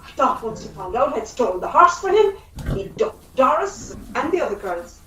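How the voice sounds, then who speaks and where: A woman's voice: high voice, sing-song, moderate pace, pushed and bright, full character voice. A woman acting a flustered, lovesick horse thief in an amateur radio play, dramatic and protesting. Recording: roomy, distant mic with hiss.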